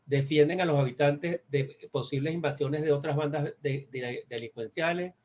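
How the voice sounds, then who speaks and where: A man with a Venezuelan accent giving an academic presentation, speaking haltingly and often repeating phrases as he searches for words. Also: on the high side, a little mellow. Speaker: guest speaker. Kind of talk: academic presentation on a research study.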